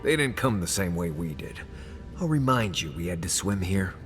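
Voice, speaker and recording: An actor playing a sardonic, sharp-eyed companion in a fantasy game, speaking in a dry, pointed way. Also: male voice, low voice, very animated, normal speed, faint music behind. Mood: dry, suspicious. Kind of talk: fantasy video game dialogue.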